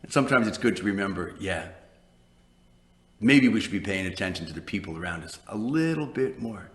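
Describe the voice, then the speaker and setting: A man's voice: lowish voice, animated, brisk. A man introducing a story hour to young children, chatty and full of rhetorical questions. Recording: roomy, echoey recording.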